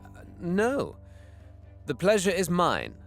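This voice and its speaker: Actor as a scheming, expressive young alien prince. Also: male voice, high-pitched, slow, vocal fry, faint music behind, full character voice. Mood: feigned deference. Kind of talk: first-person dramatic narration and dialogue.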